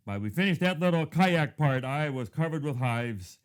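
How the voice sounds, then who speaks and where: Soft-spoken man in his fifties telling a story. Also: on the high side, animated, moderate pace, clean tone. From Thailand. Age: 50s. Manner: reflective storytelling, often drops to a hushed tone. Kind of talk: devotional talk with personal story.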